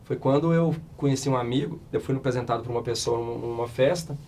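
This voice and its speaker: A man in his thirties from Minas Gerais with a Mineiro accent, a hoarse startup founder telling stories as a podcast guest. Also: medium pitch, quick pace, slight background noise. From Minas Gerais, Brazil. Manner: chatty, animated storyteller, many restarts. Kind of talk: casual interview storytelling.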